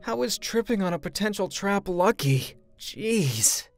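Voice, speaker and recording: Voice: mid-range voice, lively intonation, unhurried, soft background music. An actor playing a weary young older-brother hero with a dry, resigned manner in an anime comic dub.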